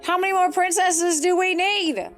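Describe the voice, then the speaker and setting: A woman's voice: very high voice, steady tone, normal speed, fairly bright tone. A young woman streaming a video game, chatting casually and thinking aloud as she plays. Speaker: gaming streamer. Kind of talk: casual let's play commentary. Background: game music.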